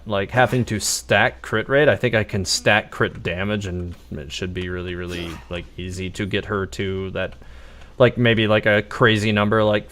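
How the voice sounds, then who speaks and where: A man with a fairly low voice streams a video game live. He talks casually and rambles along, thinking out loud as he plays. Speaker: game livestreamer. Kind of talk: casual live gameplay commentary.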